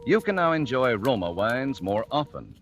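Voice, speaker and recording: An American man reading a 1940s radio sponsor advertisement with polished, measured salesmanship. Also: medium pitch, sing-song. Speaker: sponsor announcer. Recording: vintage 1940s radio broadcast with music.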